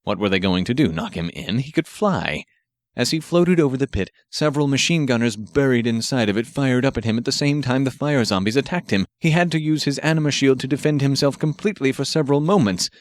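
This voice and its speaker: Male narrator for action fiction audiobook: mid-pitched voice, scripted read, dry studio-like sound. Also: animated, a little fast, dry recording.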